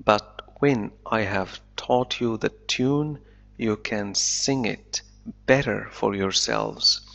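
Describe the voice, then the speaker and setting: A man teaching English, reading a novel aloud slowly and deliberately and explaining it line by line, an Arabic speaker. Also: lowish voice, vocal fry, fairly bright tone. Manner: slow deliberate reading, then quick explanations. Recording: dull lo-fi recording.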